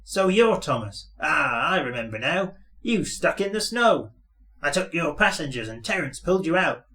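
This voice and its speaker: A man's voice: fairly high pitch, sing-song, moderate pace, pushed and bright. A man voicing a boastful, breezy male bus, a smug and taunting rival in a children's storybook. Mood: teasing.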